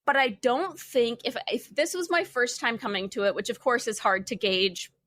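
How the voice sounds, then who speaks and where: High-voiced, wry young woman chatting casually. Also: moderate pace, a bit bright, no echo, studio quality. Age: young adult. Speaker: podcast panelist. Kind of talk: casual chat.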